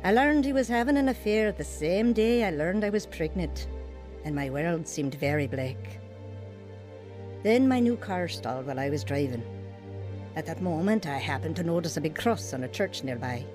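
A woman's voice: fairly low pitch, close to an everyday voice. An actress playing a troubled, heartfelt young wife who tells her life story in a scripted radio drama, with a sincere manner. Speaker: actor narrating a radio drama. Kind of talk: scripted first-person dramatized testimony.

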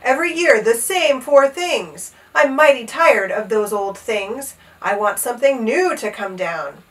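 A woman's voice: a little high, lively intonation, measured pace, distant mic. A woman voicing a short-tempered, pompous old king in a children's storybook, growling out commands. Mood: angry grumbling. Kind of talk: animated children's storybook read-aloud.

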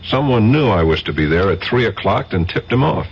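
An actor playing a wry, stubborn American federal detective in a radio drama, sardonic and informal. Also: male voice, fairly low pitch, very animated, brisk, husky, exaggerated voice. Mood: casual, brisk. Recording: muffled, hissy vintage radio recording.

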